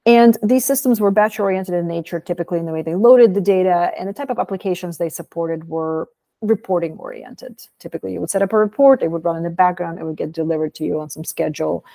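A woman in her thirties giving a recorded product talk over slides, explaining in a measured way with some fillers, filmed by webcam in a small room. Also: lowish voice, vocal fry, dark tone, dry recording.

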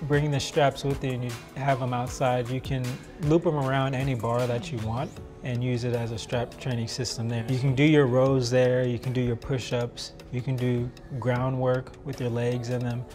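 A man's voice: mid-range voice, little pitch movement, normal speed, hardly any pauses, a little mellow. A man in his thirties talking casually and enthusiastically as an inventor presenting his product in a promotional interview. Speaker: product inventor, mechanical engineer. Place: large open office, indoors. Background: background music.

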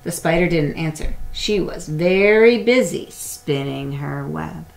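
A middle-aged woman reading a picture book aloud to young children in a simple, deliberate storytelling way. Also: low voice, vocal fry, faint music behind. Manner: simple, deliberate storytelling with acted animal sounds. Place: small indoor room at home or classroom.

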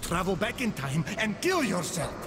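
An actor playing an earnest, desperate rebel scientist in a video game, urgent and imploring. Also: male voice, sits high, sing-song, normal speed, background noise. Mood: pleading. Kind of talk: video game cutscene acting. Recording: loud dramatic music behind.

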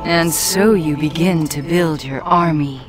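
An actress playing a sly, mocking, seductive demoness in a video game, with a sultry, theatrical delivery. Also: female voice, sits low, unhurried. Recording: loud music behind the voice.

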